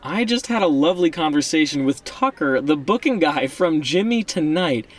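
Guy acting as hyped up comedy manager, high voice all over the place, gushing and swearing, audio play. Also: expressive, normal speed, far from the mic. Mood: excited, boasting. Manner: effusive, salesman-like, emphatic. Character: hyped, fast-talking, foul-mouthed male comedy manager buddy.

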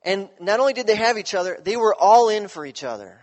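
High-voiced man preaching in a reverberant hall. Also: expressive, quick pace. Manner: conversational, checks in with listeners. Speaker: pastor. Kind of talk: sermon.

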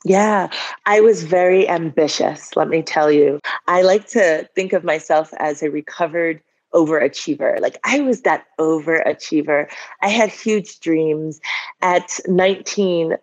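A woman in her forties from Liberia, a guest telling her story in a candid, lively way. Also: deep voice, unhurried. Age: 40s. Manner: lively, candid, laughs often. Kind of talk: casual interview storytelling. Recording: dull lo-fi audio.

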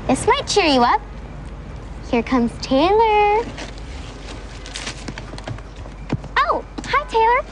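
Wisecracking preteen girl, very high voice, scheming, TV sitcom, background noise. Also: very animated, takes it slowly, exaggerated voice. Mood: bubbly. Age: young girl, preteen. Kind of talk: sitcom acting with live audience. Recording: noisy TV sitcom with studio audience.

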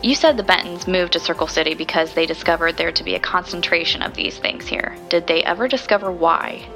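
A woman playing a thoughtful, inquisitive podcast investigator, narrating an audio drama in a measured, reflective way. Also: on the low side, a bit bright, soft background music, poor audio.

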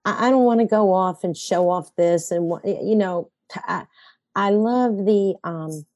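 A woman's voice: medium pitch, moderate pace, darkish tone, dry recording, studio quality. An older woman playwright talking in an interview, reflective and rambling, correcting herself mid-sentence with a wry sense of humor. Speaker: playwright guest. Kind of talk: conversational interview.

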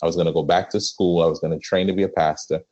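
Deep-voiced Trinidadian pastor, muffled audio. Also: male voice, steady tone, a little mellow. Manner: earnest storytelling with frequent "um" pauses. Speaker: pastor, podcast guest. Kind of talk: conversational interview.

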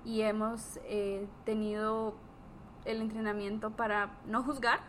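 A woman in a casual discussion, explaining earnestly as a co-host and pausing between phrases. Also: medium pitch, little pitch movement, fairly bright tone, slight background noise. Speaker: therapist, podcast co-host.

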